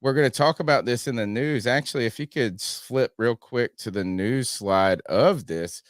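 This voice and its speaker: A man's voice: medium pitch, normal speed, no room sound, studio quality. A man hosting a casual sports podcast, rambling through his points and swearing freely. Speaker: podcast host.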